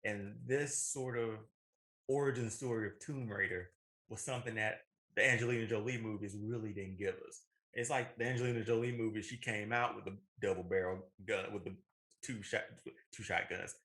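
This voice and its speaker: A man's voice: lowish voice, fairly even intonation, moderate pace, a bit bright. A man co-hosting a casual podcast, talking emphatically and rambling as he corrects himself. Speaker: podcast co-host. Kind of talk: casual animated movie discussion.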